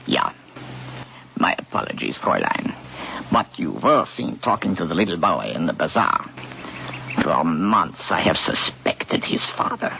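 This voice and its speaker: A deep, gravelly agent who barks orders and sniffs out traitors, in an old radio thriller. His voice swings wide and has a hard, bright edge. The sound is muffled and faintly hissy. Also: very animated, measured pace, full character voice. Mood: stern. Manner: curt, commanding, gruff. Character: suspicious German intelligence officer. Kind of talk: 1950s radio drama acting. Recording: dull old radio broadcast with hiss.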